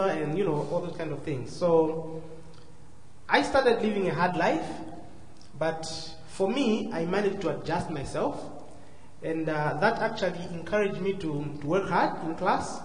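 A man with a southern African accent giving a personal testimony as a guest speaker, courteous, measured and hesitant. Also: high-pitched, darkish tone. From Zambia and Malawi. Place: large church hall, live before a congregation. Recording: echoey hall, faint noise.

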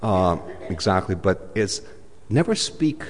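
A man preaching a sermon in a church, talking in a conversational way, often saying "you know" and swinging between loud and soft. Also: sits low, sing-song, slow pace, slight background noise. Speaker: pastor. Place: church hall. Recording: echoey church hall.

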